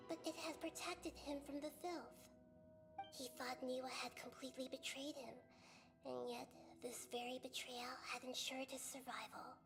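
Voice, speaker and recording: A woman voicing a gentle, wise female goddess in a video game, telling the story in a calm, measured way. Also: high voice. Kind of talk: video game voice acting, narration.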